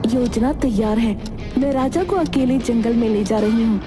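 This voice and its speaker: A woman's voice: fairly high pitch, normal speed, over music, pitched above the performer's own voice, lightly acted. A woman voicing a seductive, treacherous princess in a story, honeyed and theatrical in manner. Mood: cold plotting.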